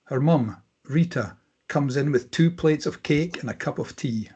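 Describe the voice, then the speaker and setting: A man's voice: a little high, unhurried, a little mellow, breathy edge, highs cut off. A man reading stage directions as scripted narration at a screenplay table read.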